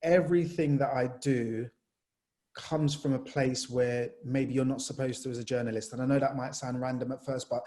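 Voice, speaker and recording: A young man from Jamaica, a sports journalist, telling his story in a lively, chatty way with lots of fillers. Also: mid-range voice, normal speed. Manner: animated storyteller, many fillers. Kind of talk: casual panel talk.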